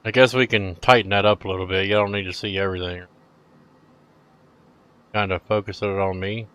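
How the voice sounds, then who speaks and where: A middle-aged man streaming casually, chatting with viewers in a laid-back, rambling way and letting phrases trail off quietly. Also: low-pitched, steady tone, fairly quick. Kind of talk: casual live-stream chat.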